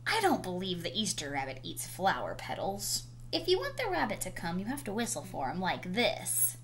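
A woman voicing an eager, excited young boy in a children's storybook, exclaiming and calling out, on a home recording. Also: on the low side, normal speed. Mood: cocky. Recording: noisy home video recording.

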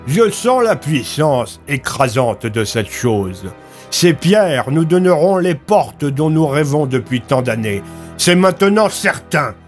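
Man as a grandiose villain, raised pitch. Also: very animated, soft background music. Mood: fervent. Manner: grandiose, slow, intense. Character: power-hungry, awestruck villain craving magic doors.

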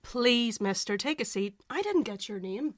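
Woman playing a lively, fussing older mother. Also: fairly high pitch, moderate pace, no room sound, studio quality. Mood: welcoming. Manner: chatty, insistent, fussing. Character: bustling, hospitable, doting older mother. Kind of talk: radio drama acting.

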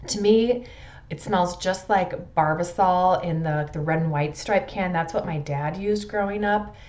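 Low-pitched middle-aged woman reviewing casually. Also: a little mellow. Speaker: fragrance reviewer. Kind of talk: casual product review to camera. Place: indoors in a furnished room at home.

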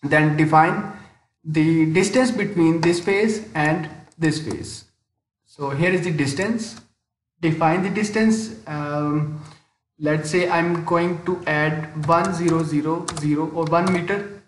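A man narrating a step-by-step software tutorial as an instructor, hesitant and often pausing mid-sentence, in a voice-over with room echo. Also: on the high side. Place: indoor room, screen-recording voice-over. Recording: roomy, echoing voice-over.

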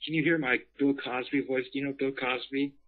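A man's voice: medium pitch, steady tone, brisk. Young man on a narrow, tinny call. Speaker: caller asking questions. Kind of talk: casual chat.

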